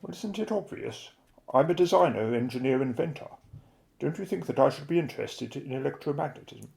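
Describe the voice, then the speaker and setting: A man acts out a middle-aged British inventor in an audio drama. His voice is gravelly with fry, and he explains his daring schemes in a clipped, declamatory way, self-assured and a little secretive. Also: mid-range voice, animated, brisk, a little mellow. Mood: explanatory, keen.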